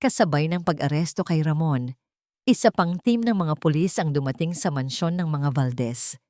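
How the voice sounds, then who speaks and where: A woman's voice: low-pitched, normal speed, darkish tone, no room sound, clean studio sound. A woman reading scripted narration in a dramatic storytelling style.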